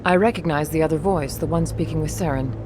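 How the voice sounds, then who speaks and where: A woman voicing a calm alien councilor. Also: low voice, over music, natural voice. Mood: measured. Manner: measured, diplomatic. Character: measured female alien councilor, calm and diplomatic.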